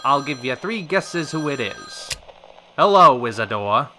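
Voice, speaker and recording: A man's voice: medium pitch, sing-song, a little slow, pushed and bright, a little hiss or hum, exaggerated voice. A man playing a wry, chivalrous radio talk show host in a polished, theatrical old-time style. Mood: teasing.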